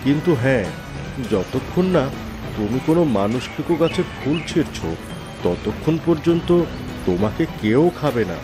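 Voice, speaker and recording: A man's voice: medium pitch, animated, moderate pace, lightly acted. A man playing a friendly talking lotus flower, a cursed human, speaking familiarly and earnestly in a cartoon fable. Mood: explaining, cautioning. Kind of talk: Bengali cartoon fable voice acting. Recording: loud music under the voice. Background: music.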